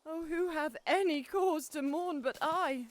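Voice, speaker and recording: A woman's voice: high voice, a little slow. A woman playing a widowed queen in an audio drama, lamenting her lost children in a pleading voice. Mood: grieving. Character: grieving widowed queen mourning her sons. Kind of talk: Shakespearean audio drama acting.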